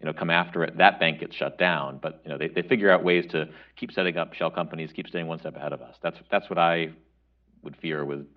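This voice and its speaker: A man speaking as a panelist, halting, with many fillers. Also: very deep voice, steady tone. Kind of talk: panel discussion. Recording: dull lo-fi audio.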